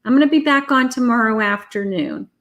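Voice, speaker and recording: A woman's voice: fairly high pitch, brisk, a bit bright. A woman doing a live tarot reading, chatty and reassuring, calling viewers honey and sweetheart, her voice starting to wear out. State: losing her voice. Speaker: psychic tarot reader.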